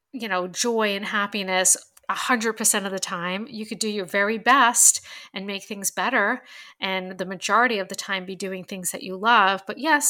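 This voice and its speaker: A woman talks through self-help advice in a loose, chatty way, with lots of fillers and restarts. Her voice is bright and forward but stuffed-up and nasal from allergies. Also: mid-range voice. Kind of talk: casual advice talk to camera.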